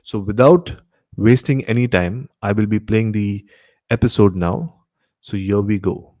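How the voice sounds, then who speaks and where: An Indian man presents as a radio host in a casual way. His voice sits fairly low and is very mellow and dark, with a dry, close sound free of room echo. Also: a little slow. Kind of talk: casual show introduction.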